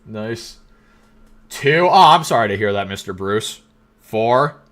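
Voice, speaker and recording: Bright, teasing young male streamer. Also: on the low side, lively intonation. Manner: joking, teasing, quick banter with frequent laughs. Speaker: livestream host. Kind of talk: casual live-stream chat, reading out chat messages.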